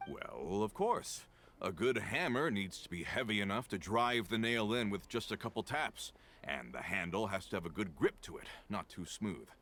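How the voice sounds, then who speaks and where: A weary, deep-voiced carpenter. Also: male voice, animated, normal speed, a bit bright, slight background noise. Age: middle-aged. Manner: tired, plain-spoken, sighing. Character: weary working-class carpenter man. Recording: game audio with music behind.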